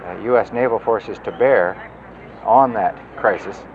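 A man campaigning for office and answering a voter's question in measured political phrasing, with a few stumbles. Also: fairly low pitch. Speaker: political candidate. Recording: lo-fi outdoor recording with street noise.

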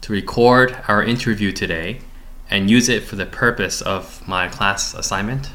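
A man's voice: on the low side, moderate pace, sharp tone, slight background noise. A young man, a graduate student, conducting an oral history interview with polite, formal questions.

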